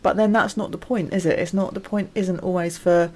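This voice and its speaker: Chatty woman with a low voice. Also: steady tone, dark tone. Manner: chatty, quick to agree, laughs easily. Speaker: podcast co-host. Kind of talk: casual chat.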